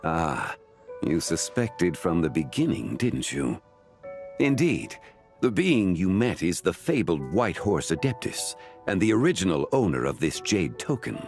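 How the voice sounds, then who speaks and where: A man voicing a refined, composed, scholarly gentleman in a video game, formal and courteous. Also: deep voice, big pitch swings, measured pace, faint music behind, exaggerated voice. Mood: explanatory.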